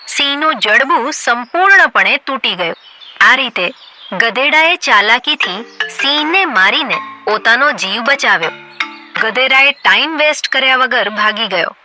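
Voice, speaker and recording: A woman narrating a scripted children's animal story, with music playing behind her. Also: high-pitched.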